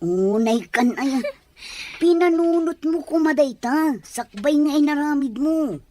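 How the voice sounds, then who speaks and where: A woman's voice: sits high, animated, a little slow, mellow, slight background noise. A woman playing a blunt, motherly older village woman in a serial drama. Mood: scolding. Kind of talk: Ilocano radio-style serial drama acting.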